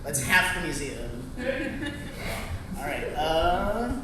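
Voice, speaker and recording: A young man doing a stand-up set, delivering dry jokes with many halting fillers. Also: on the high side, very animated, recorded from a distance. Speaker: stand-up comic. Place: small comedy club. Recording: echoey room, mic heard from afar. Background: crowd ambience and laughter.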